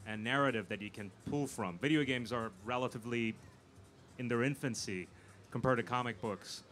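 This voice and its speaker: A man answers questions as a guest on a live panel, with some noise behind him. He sounds like a film producer explaining his work, halting and repeating small words as he gathers his thoughts. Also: mid-range voice, fairly bright tone. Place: live convention stage. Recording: noisy live event room.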